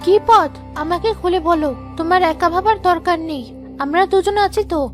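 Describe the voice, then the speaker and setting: A woman's voice: extremely high pitch, normal speed, faint music behind. A woman voicing a poor fisherman's devoted, brave wife in a cartoon, earnest and encouraging. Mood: concerned. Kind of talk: dramatic cartoon voice acting.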